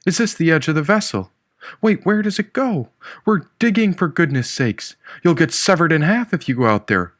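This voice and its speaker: A man's voice: a little high, expressive, moderate pace, fairly bright tone, pitched above the performer's own voice. A man voicing an anxious, disbelieving young worker with an urgent, questioning way of talking, as an audiobook character. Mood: alarmed.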